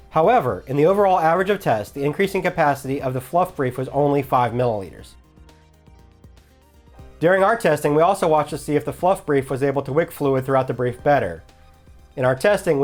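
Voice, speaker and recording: A man reading scripted instructional narration for a product review in a measured, explanatory manner. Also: mid-range voice. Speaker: host of a product review channel.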